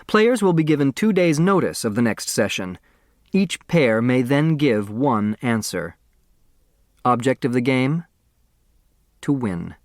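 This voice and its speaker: A formal man announces rules and names in a storybook mystery, acted by a male narrator. He is deliberate and official, at a medium pitch in a very dry recording. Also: big pitch swings, no room sound. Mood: formal announcing.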